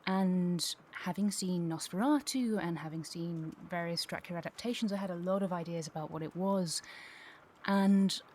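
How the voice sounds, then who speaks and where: A woman's voice: lowish voice, moderate pace, darkish tone, slight background noise. A woman chatting casually and enthusiastically as an actress being interviewed. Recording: faint background ambience.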